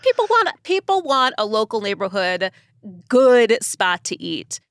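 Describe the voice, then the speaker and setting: A woman co-hosting a podcast, asking questions in a lively, encouraging way. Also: fairly high pitch, measured pace, heavy background noise. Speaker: podcast co-host. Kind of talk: casual interview chat.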